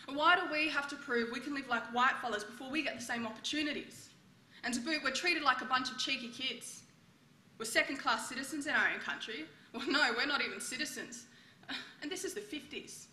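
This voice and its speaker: A woman's voice: high-pitched, fairly quick, bright, forceful tone, pitched above the performer's own voice. A woman playing an earnest, defiant 1950s mother delivering an emphatic, plain-spoken petition in a stage monologue. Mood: indignant, demanding. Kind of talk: theatrical monologue. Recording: roomy sound with faint background noise.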